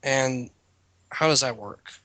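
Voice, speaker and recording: A man's voice: medium pitch, slow pace, bright, forceful tone, no echo. A man doing a dramatic reading of a written character bio, breaking into casual commentary as host.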